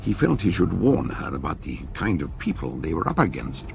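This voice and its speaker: A British man with a husky, gravelly voice, narrating a radio drama in a dramatic scripted style. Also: low-pitched, sing-song, moderate pace, a little hiss or hum.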